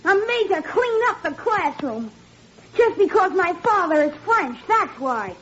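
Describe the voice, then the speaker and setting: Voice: extremely high pitch, sing-song, a little slow, fairly bright tone, heavily acted. A young performer playing a sensitive, hesitant half-French schoolboy in 1940s radio drama style. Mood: upset, plaintive. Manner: plaintive, hesitant. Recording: muffled, noisy 1940s radio recording.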